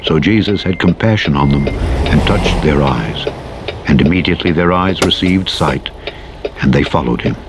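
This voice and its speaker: A man narrating the Bible in a solemn, measured scripture-reading style with archaic phrasing. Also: extremely low pitch, expressive. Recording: clear engine and vehicle noise behind. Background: truck engine noise from a driving game.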